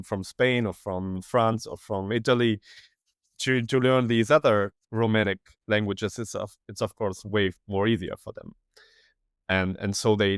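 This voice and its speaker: A man's voice: fairly low pitch, no echo. German man, dry voice, thinking aloud. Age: young adult. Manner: hesitant, restarts often, thinks aloud. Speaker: guest researcher. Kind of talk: casual podcast conversation.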